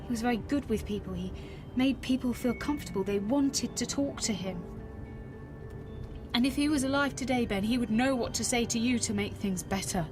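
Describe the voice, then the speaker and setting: A woman's voice: on the high side, over music, close to an everyday voice. An actress playing a widowed mother, strained, grieving and defensive, in a naturalistic radio drama. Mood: pained, pleading. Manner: halting, defensive. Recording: clear background noise and ambience.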